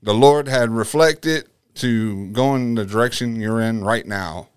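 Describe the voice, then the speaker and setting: A man's voice: medium pitch, a little slow, clean tone, no room sound, clean studio sound. A man hosting a casual faith podcast, joking and rambling, correcting himself as he goes. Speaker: podcast host. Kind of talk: casual chat.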